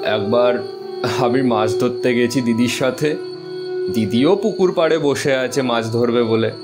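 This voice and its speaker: A man playing a lonely young village postmaster in an audio story, talking in an informal, familiar, unhurried way. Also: medium pitch, over loud music, close to an everyday voice. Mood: fond reminiscing. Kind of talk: Bengali audio story acting.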